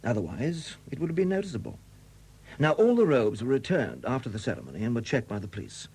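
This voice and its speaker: An actor playing a shrewd, relentless police superintendent in a 1970s radio drama, questioning in a deliberate, courteous but insistent way. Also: male voice, medium pitch, very animated, darkish tone, breathy edge. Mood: methodical, insistent. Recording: dated 1970s radio recording.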